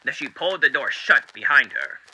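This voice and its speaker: A man's voice: mid-range voice, very animated, brisk, bright, forceful tone, slightly rough, exaggerated voice, poor audio. A man voicing a genteel, eerily cheery demon radio host with showy, mannered delivery, in the style of 1930s radio acting. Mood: solemn storytelling.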